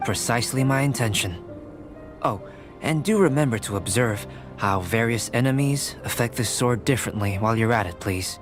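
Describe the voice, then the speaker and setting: A young male researcher from a fantasy video game, played by a man. He speaks at a middle pitch with calm, analytical precision and formal, detached composure. Music is clearly heard underneath. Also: moderate pace, natural voice. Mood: composed.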